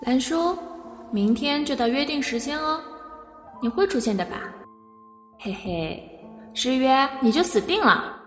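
A woman's voice: high-pitched, animated, unhurried, long pauses, a bit bright. A woman playing a sweet, playful young woman with a teasing, girlish manner in a Chinese costume radio drama. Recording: distant voice, music behind.

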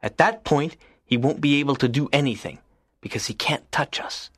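An actor playing a lonely, earnest teenage schoolboy in an audiobook, talking in a soft, low, pleading way. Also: male voice, normal speed, slightly rough, no room sound, studio quality. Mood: calm, planning. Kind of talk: audiobook character reading.

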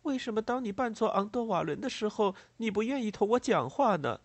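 A man's voice: high-pitched, big pitch swings, a little fast, dry recording, voice pushed up, heavily acted. A man voicing an innocent, affectionate small child in a storybook, with a plaintive way of talking.

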